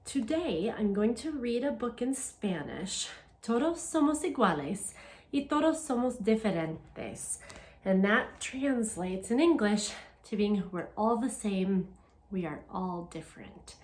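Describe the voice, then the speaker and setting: A woman teacher reading aloud to young children in a simple, deliberate, encouraging way, often posing questions to them. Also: medium pitch. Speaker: elementary school language teacher. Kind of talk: children's read-aloud lesson.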